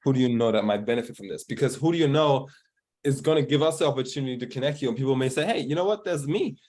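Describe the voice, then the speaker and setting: An upbeat man hosts a group coaching session over a video call. He talks casually and hypes up the people he introduces, in a voice of ordinary pitch. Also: animated, racing, a little mellow. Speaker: host of online group call.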